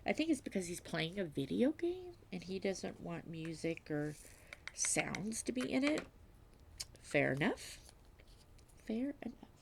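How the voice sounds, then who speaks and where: A woman vlogging at home while diamond painting, reading aloud and chatting in a self-deprecating way, with a slightly stuffy nose and frequent soft whispers. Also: lowish voice, big pitch swings, unhurried, vocal fry, a little hiss or hum. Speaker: crafting vlogger.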